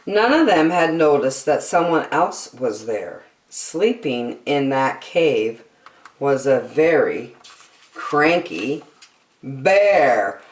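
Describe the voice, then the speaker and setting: A woman with a lowish voice reads a children's story aloud. She is theatrical and unhurried, with very lively intonation. The sound is roomy and echoey. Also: distant mic, slight background noise. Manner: theatrical, deliberate phrasing. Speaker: storytime reader. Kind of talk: children's picture book read-aloud.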